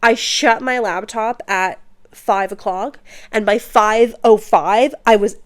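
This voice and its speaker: A woman's voice: medium pitch, steady tone, takes it slowly. A young woman hosting her own podcast, chatty and bubbly, sounding congested while getting over an illness. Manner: bubbly, chatty, uses slang and filler words. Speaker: podcast host. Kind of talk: casual solo podcast chat. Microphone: podcast microphone.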